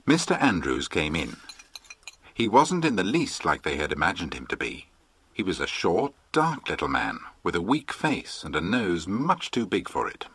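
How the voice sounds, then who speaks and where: A British man with a husky voice, reading scripted narration for a children's audiobook. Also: low voice, wildly swooping pitch, moderate pace, a bit bright, full character voice.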